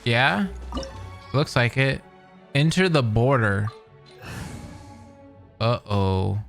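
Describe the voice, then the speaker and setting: A young man commenting casually and excitedly while playing a game. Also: medium pitch, drawn-out words, gravelly. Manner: excitable, chatty, says dude. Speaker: gaming streamer. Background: game music.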